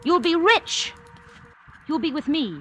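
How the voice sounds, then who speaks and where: Scheming, bright-voiced vintage radio wife. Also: female voice, high-pitched, lively intonation, takes it slowly, heavily acted. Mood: urgent pressure. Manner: insistent, coaxing, urgent. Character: scheming, ruthless adulterous wife urging her lover to murder. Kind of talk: 1940s radio drama acting. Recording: noisy vintage radio broadcast recording.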